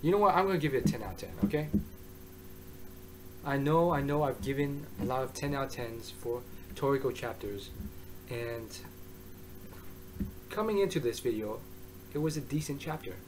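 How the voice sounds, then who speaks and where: Man, hoarse, mid-pitched, excitable, rambling, casual review monologue. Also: normal speed, pauses often, a little hiss or hum, low-quality recording. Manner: excitable, rambling, with many restarts. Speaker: manga reviewer.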